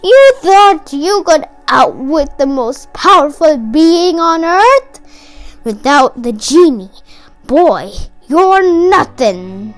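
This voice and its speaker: A woman voicing a power-hungry, gloating male sorcerer in a storybook, with a commanding, drawn-out delivery. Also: sits high, big pitch swings, soft background music, full character voice, rough recording.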